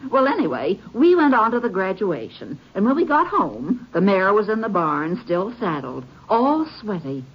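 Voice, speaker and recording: A woman's voice: medium pitch, very animated, normal speed, few pauses, slightly rough. An actress playing a plain-spoken, disapproving middle-aged country woman with a drawl in a radio western. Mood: earnest recounting. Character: plain-spoken rural stepmother, disapproving and forthcoming. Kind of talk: old-time radio western acting. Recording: dull old radio recording.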